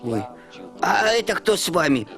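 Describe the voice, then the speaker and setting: High-pitched little creature boy. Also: big pitch swings, moderate pace, vocal fry, a bit bright, soft background music, exaggerated voice. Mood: dazed, pompous. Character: small boy puppet creature, dazed, TV-obsessed, mimics presenters. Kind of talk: children's puppet show acting.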